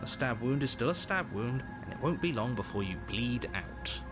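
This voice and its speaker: A man's voice: medium pitch, animated, loud music behind the voice, close to an everyday voice. A man voicing a sardonic game narrator. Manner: sardonic and deliberate. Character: dry, exasperated male narrator. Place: game audio over background music.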